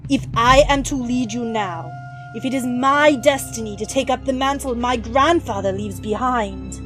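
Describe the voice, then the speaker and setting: A woman's voice: a little high, lightly acted. A woman playing a proud, ruthless female ninja clan leader, giving solemn, formal oratory in a comic-book audio drama.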